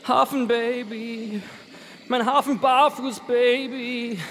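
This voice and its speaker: An actor playing a lovesick, smitten devil in a radio play. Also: male voice, very high voice, little pitch movement, slow, fairly bright tone, heavily acted. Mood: lovesick pining. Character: lovesick devil with three horns, plaintive and smitten. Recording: long reverb, background noise.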